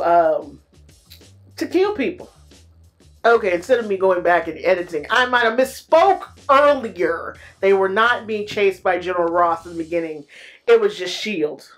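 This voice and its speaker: Casual female movie reviewer, mid-pitched, slangy and animated, faint music bed. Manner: animated, slangy storytelling with playful exclamations.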